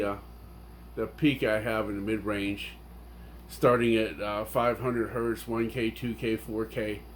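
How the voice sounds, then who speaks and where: A man's voice: lowish voice, slight background noise. A man giving a casual, unscripted, rambling and technical review of his audio gear with enthusiasm.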